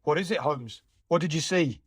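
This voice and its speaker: A man plays a practical police inspector in a detective audio drama. He sounds slightly high, casual yet brusque, in a very dry recording. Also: normal speed, breathy edge, dry recording. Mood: matter-of-fact. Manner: casual, matter-of-fact authority.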